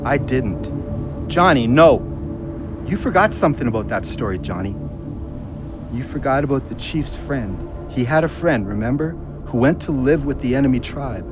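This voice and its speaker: A man's voice: mid-range voice, animated, normal speed, music in the background, lightly acted. An actor playing a guilt-ridden, haunted drinking man in an audio drama, pleading in colloquial speech. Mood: uneasy, persuading. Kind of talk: emotional audio drama acting.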